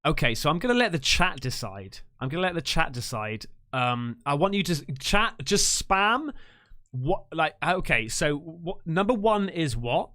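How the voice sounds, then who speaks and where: A man hosting a live stream, chatting with callers in a chummy, excitable way full of slang. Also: a little high, normal speed. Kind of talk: casual live chat.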